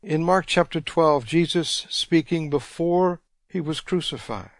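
A man preaching a radio sermon, congested, reading scripture slowly and deliberately. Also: a little high, no echo, clean studio sound.

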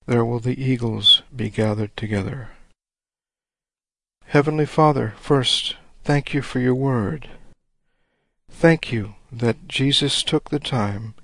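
A man preaching a sermon, reading scripture slowly and deliberately, his voice a little rough and congested. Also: mid-range voice, fairly bright tone, dry recording. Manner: deliberate, measured reading of old-style scripture.

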